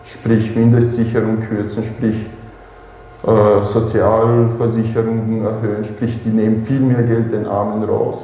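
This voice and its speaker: A man's voice: on the low side, level tone, measured pace, warm tone. A 24-year-old man interviewed in a documentary film, making blunt, critical statements. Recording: very echoey playback, faint noise.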